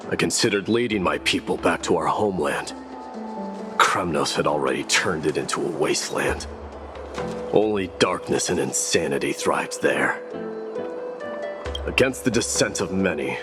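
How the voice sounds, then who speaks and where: A man playing a proud, brooding immortal prince in a video game, speaking in a grave, formal way that turns forceful when roused. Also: very deep voice. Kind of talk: dramatic video game voice acting. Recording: loud background music.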